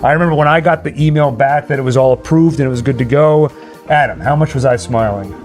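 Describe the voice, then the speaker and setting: A man's voice: mid-range voice, quick pace, darkish tone, soft background music. A man hosting a livestream party, chatting casually, reading out the chat and running trivia. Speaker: livestream party host and quizmaster.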